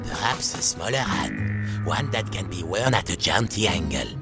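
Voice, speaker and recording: A man's voice: low voice, animated, moderate pace. A man voicing a rude, fussy, vain male hermit crab who gives orders in a storybook. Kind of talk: storybook character voice. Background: music.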